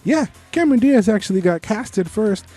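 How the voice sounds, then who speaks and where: A man's voice: high-pitched, animated, moderate pace, warm tone, soft background music. A man co-hosting a podcast, giving opinionated, informal review chat with bits of trivia. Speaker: podcast co-host.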